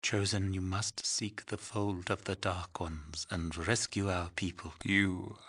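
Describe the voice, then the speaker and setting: An aged village mystic for a video game. He speaks very slowly in a breathy, husky voice on the low side. His phrasing is solemn, ceremonious and full of cryptic poetry. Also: flat delivery, a bit bright, exaggerated voice. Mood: weak, grieving. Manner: slow, ceremonious, poetic phrasing. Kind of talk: dramatic video game character acting.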